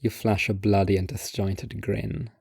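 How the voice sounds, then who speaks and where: A man's voice: on the low side, little pitch movement, a little slow, creaky, a little mellow, slightly rough, no room sound, clean studio sound. A man reading scripted literary narration as the narrator of a second-person story.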